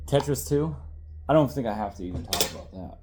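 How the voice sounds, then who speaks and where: A man's voice: medium pitch, animated, brisk, noisy background. A man in his thirties, congested and tired, chatting casually and rambling as he shows off his old game collection, correcting himself and swearing now and then.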